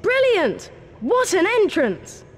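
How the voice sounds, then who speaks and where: An excitable young British boy in a video game. The high voice leaps around with eagerness and carries a long reverberant tail. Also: takes it slowly, full character voice. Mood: excited.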